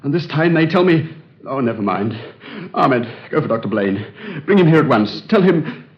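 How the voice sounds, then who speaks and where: A man's voice: mid-range voice, sing-song. A young actor playing a nervous, haunted man who speaks hesitantly in a 1930s radio play. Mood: uneasy. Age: young adult. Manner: hesitant, halting, tense. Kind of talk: 1930s radio drama acting. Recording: dull vintage radio recording.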